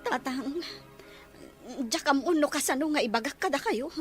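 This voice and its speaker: A woman's voice: high voice, expressive, normal speed, faint music behind. A woman playing a distraught member of a bereaved family in an Ilocano radio drama, with an Ilocano accent. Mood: sorrowful.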